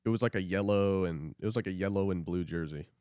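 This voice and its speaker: A young man with a fairly low voice chats casually as a podcast co-host. He speaks very fast, in a dry recording with hardly any room echo. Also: a little mellow, clear, resonant tone. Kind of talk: casual sports chat among friends.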